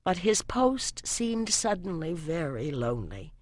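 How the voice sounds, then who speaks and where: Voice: mid-range voice, sing-song, unhurried, no room sound. Dramatic fantasy audiobook narrator, very dry sound.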